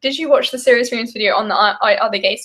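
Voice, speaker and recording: Young woman, Midlands accent, higher voice, chatty, rambling, giggly, casual stream talk. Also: fast, pushed and bright. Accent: English Midlands. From English Midlands. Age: young adult. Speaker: vlogger. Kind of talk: casual live-stream chat while baking.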